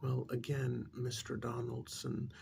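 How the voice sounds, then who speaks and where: A man playing a patient but exasperated couples therapist in a soft-spoken ASMR role-play, measured and gently reproving, with a gravelly, sometimes breathy voice. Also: mid-range voice, monotone, a little hiss or hum. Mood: weary, probing.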